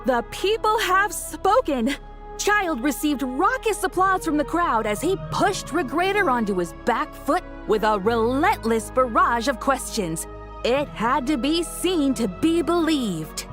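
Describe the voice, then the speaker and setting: An excitable female reporter in a video game, speaks in a fairly high, swooping voice. She delivers theatrical commentary and puts dramatic questions to her audience. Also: a little slow, over music. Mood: excited. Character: excitable female news reporter stirring up the crowd.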